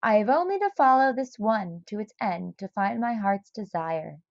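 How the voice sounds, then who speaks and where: A woman's voice: mid-range voice, expressive, normal speed, vocal fry, darkish tone, highs cut off. A woman voicing a dreamy, determined male rat in a storybook, earnest and stubborn. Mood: earnest longing.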